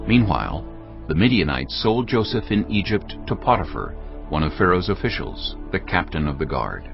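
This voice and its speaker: Deep, gravelly male Bible narrator over music. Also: big pitch swings, moderate pace. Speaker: narrator of a dramatized audio Bible. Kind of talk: scripted scripture narration. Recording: dull lo-fi audio under music. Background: prominent background music.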